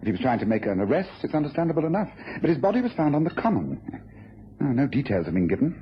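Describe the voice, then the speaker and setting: Guy doing a sharp-witted english detective, radio play, quick and precise, swoopy intonation, slightly noisy old tape. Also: mid-range voice, big pitch swings, close to an everyday voice. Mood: brisk, musing. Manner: brisk, precise, knowing. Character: shrewd, self-assured male detective. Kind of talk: British radio drama acting. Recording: dull old radio recording, faint noise.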